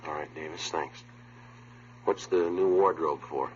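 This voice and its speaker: An actor playing a terse, businesslike police detective who narrates his cases in a clipped, deadpan way in a 1950s radio drama. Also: a bass voice, unhurried, a little hiss or hum. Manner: clipped, deadpan, matter-of-fact. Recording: muffled old radio recording, music behind.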